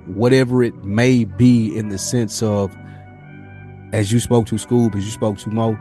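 A man's voice: on the low side, a little mellow. A man with an American accent in casual podcast discussion as co-host, slangy and repeating his phrases.

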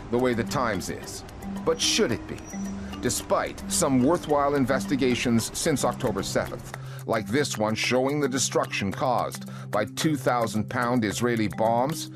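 Male presenter, scripted news narration, wry and pointed, lively intonation, music bed beneath. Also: mid-range voice.

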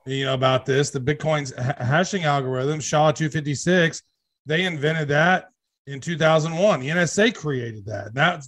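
Male co-host, fairly high voice, eager storyteller. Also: fairly bright tone. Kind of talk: casual podcast conversation.

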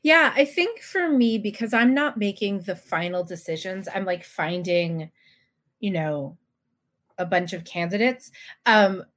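A woman's voice: medium pitch, expressive, unhurried, a bit bright. A woman talking casually as a panelist and editor.

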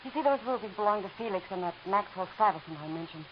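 A woman's voice: mid-range voice, expressive, fast talker, close to an everyday voice. An actress playing a nervous, hesitant young woman who stammers, in 1940s radio acting. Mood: earnest. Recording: hissy dull old radio broadcast.

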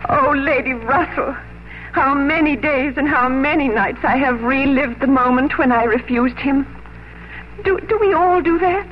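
An actress playing a wistful, hopeful English gentlewoman who longs for a lost love, in 1950s radio drama style. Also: high voice, measured pace, a little hiss or hum. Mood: wistful regret. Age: young adult. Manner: earnest, wistful, literary phrasing. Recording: dull old radio broadcast with music.

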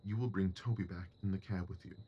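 Male actor as confident Victorian gentleman detective, fairly deep voice, precise, dryly superior, audio drama, noticeable background noise. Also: fairly even intonation, fast talker, lo-fi sound, close to an everyday voice. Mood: brisk, instructive.

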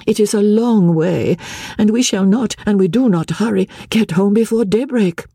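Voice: mid-range voice, moderate pace, mellow, breathy edge, dry recording, studio quality, voice pushed up. A reader voicing a proud, tired young noblewoman who sounds strained and pleading, raised above the narrating voice. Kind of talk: audiobook dialogue.

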